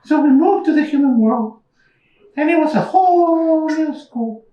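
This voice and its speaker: A woman voicing a young, squeaky monster puppet telling stories in a simple, childlike way at a live puppet show. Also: expressive, measured pace, dull audio. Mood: friendly. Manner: childlike, simple storytelling to an audience. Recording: echoey room, slightly distant mic.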